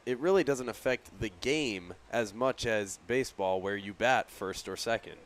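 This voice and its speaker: A man's voice: lowish voice, moderate pace. A man giving conversational color commentary during a live baseball radio broadcast. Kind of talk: conversational sports analysis. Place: live at a ballpark broadcast position.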